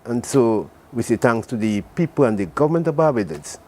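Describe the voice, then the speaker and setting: An older man speaks on a morning TV show. He is earnest and grateful and circles back over his thanks. His voice sits at an ordinary middle pitch. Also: expressive, brisk, mellow. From Saint Vincent. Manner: earnest, thankful, repetitive phrasing. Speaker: president of a community association, guest. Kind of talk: morning TV interview.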